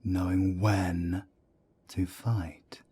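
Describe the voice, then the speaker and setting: A deep, husky-voiced werewolf elder played by a man in an audio drama. He is a wry mentor who talks slowly and deliberately, with a dark, mellow tone. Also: level tone, heavily acted. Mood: grave, instructive. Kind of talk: audio roleplay drama acting.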